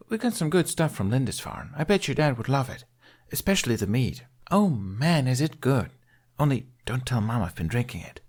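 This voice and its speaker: A man's voice: mid-range voice, a little mellow, pitched above the performer's own voice. Man putting on a breezy young Viking girl. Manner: breezy, rambling.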